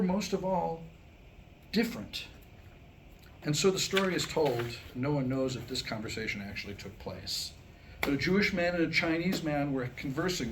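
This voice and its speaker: A man with a slightly high voice delivers a scripted comic monologue at a staged reading. He is wry and mock-scholarly, phrasing his lines deliberately. The live recording carries a faint layer of noise. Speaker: writer presenting his new humorous script. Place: live staged reading before a small audience. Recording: clearly noisy live room recording.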